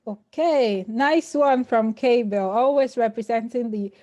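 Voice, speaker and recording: Teasing higher-voiced woman host. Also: measured pace, darkish tone, dry recording. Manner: teasing, laughing hostess. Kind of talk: casual group chat hosting.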